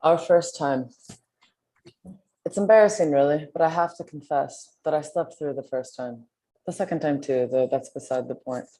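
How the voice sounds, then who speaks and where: Very deep-voiced woman reading poetry. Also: moderate pace, mellow, rough recording. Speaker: reader of poetry translations.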